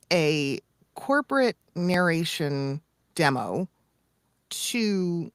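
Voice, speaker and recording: A woman with a slightly low voice speaks as a coach on a casual podcast. She explains emphatically and works through examples. The recording is dry, with almost no room sound. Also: no room sound. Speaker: podcast co-host and voice-over coach.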